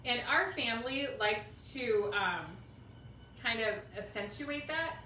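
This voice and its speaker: A woman's voice: fairly high pitch, slow, sharp tone. A middle-aged woman with a Minnesota accent chatting casually and informally as host of a craft livestream, rambling as she goes. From Minnesota, United States. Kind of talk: casual chat to a livestream audience. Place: indoors at home, roomy space. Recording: roomy, distant mic, faint noise.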